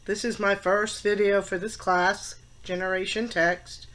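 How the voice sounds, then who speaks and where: A woman's voice: mid-range voice, flat delivery, fairly bright tone. Stuffy-nosed woman in her fifties. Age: 50s. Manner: opinionated, emphatic, with pauses and fillers. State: stuffed up from a head cold. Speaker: college teacher and student. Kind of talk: casual class vlog.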